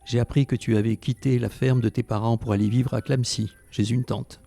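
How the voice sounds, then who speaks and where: A man's voice: medium pitch, fairly even intonation, brisk, gravelly, mellow. An actor playing a reflective French Resistance fighter who recounts his wartime memories as first-person narration in an audio drama, recorded over music. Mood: tender, longing.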